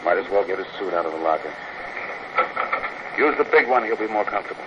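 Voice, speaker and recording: Tough American spaceship pilot, noisy old recording. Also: male voice, low-pitched, animated, fast talker, dull audio. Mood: low, subdued. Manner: clipped, slangy American talk. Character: tough, steady male spaceship pilot, gruffly caring. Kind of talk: 1950s radio drama acting.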